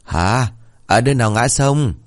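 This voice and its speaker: A man's voice: mid-range voice, a little slow, pitched above the performer's own voice, close to an everyday voice. A man voicing a deaf, cranky old village man near ninety who mishears and scolds crudely. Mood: confused.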